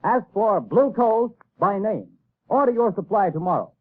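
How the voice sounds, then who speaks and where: A man making a formal 1930s radio sponsor announcement in a booming delivery. His voice sits high for a man. The sound is a tinny old broadcast. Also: sing-song, moderate pace.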